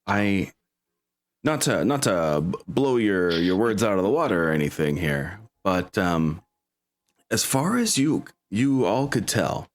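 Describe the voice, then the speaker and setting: A man's voice: low-pitched, very animated, a little slow. A man chatting casually as game master of a tabletop game, rambling and correcting himself as he goes.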